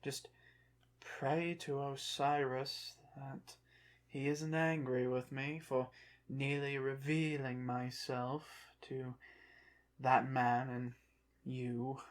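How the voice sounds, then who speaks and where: Ancient Egyptian brought back to life, haughty and guarded, formal halting phrases, unhurried pace, ASMR roleplay actor in character. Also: medium pitch, level tone. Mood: weary, apologetic. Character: proud, touchy ancient Egyptian revived from the dead, museum exhibit. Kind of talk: ASMR roleplay, one side of a dialogue.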